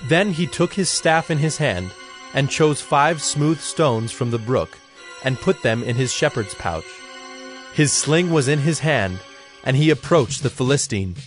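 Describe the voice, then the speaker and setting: A man giving measured, solemn scripted narration for a dramatized Bible. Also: medium pitch, lively intonation, faint music behind.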